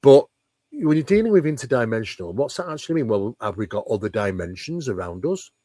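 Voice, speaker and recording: A man's voice: medium pitch, big pitch swings, brisk, dark tone, dry recording, studio quality. A man speaking as an interview guest, explaining ideas at length in an emphatic, conversational way and often posing rhetorical questions. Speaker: interview guest, paranormal researcher. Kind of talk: conversational explanation of paranormal topics.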